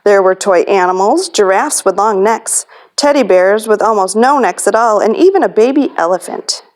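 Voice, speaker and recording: A woman's voice: mid-range voice, lively intonation, moderate pace, a little mellow. A woman hosting a children's storytime as a librarian, reading a picture book aloud.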